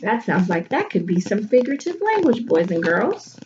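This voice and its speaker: A woman schoolteacher reading a children's picture book aloud expressively, pausing to think aloud and put questions to young listeners. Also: mid-range voice, very animated. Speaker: elementary school teacher. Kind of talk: animated children's picture-book read-aloud with teaching asides. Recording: muffled audio with clear background noise.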